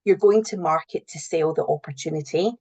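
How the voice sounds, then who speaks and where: A woman's voice: low-pitched, a little fast, darkish tone, slightly rough. A middle-aged woman giving earnest, explanatory answers as a guest in a conversational interview. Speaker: interview guest, recruitment and HR professional.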